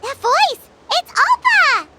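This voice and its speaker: A woman voicing a small, childlike, excitable fairy companion in a video game, with a squeaky voice. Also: slow, heavily acted. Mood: curious, excited. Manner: exclaiming, bubbly. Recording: background music over the voice.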